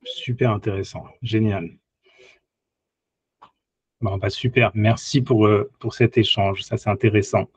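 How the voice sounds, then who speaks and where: A man's voice: lowish voice, steady tone, unhurried, pauses often, breathy edge, no echo. An encouraging man casually hosting an online group call and handing the floor to participants. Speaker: host of an online training group.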